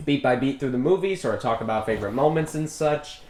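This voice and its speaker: A talkative young man co-hosts a casual podcast. His voice sits at an ordinary male pitch and he rambles in a jokey way. The recording is echoey, made in a bare apartment room. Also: lively intonation, quick pace, darkish tone. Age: early 20s. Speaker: podcast co-host. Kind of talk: casual chat about a movie. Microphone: individual microphone.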